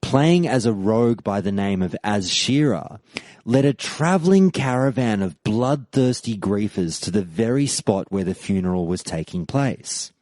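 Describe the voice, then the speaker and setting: Man narrating a game history story. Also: dry recording. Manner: measured storytelling with lively pitch swings. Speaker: podcast host.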